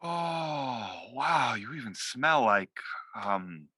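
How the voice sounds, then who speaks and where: A man's voice: medium pitch, expressive, extremely slow, pushed and bright, breathy edge, no echo, heavily acted. A man playing a drunk, drug-addled boss in a comedy table read, rambling, crude and erratic, swinging between moods. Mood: maudlin. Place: remote, over a video call. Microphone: video call.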